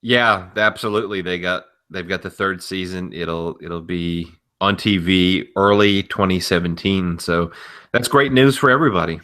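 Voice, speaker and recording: Bright-voiced man co-hosting a podcast. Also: sits low, normal speed. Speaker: podcast co-host. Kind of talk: casual opinion chat.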